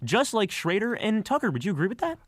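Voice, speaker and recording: A man's voice: high voice, sing-song, fast, no echo. A man co-hosting sports talk radio, explaining his analysis earnestly and conversationally, now and then stumbling over words, on a studio mic. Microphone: close studio microphone.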